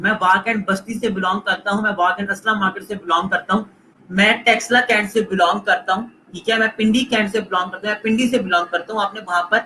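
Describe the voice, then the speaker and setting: A man's voice: high-pitched, pushed and bright, a little hiss or hum, poor audio. A man with a Pakistani Urdu accent giving a live online lecture, coaching students and repeating points while mixing in English phrases. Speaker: online course instructor.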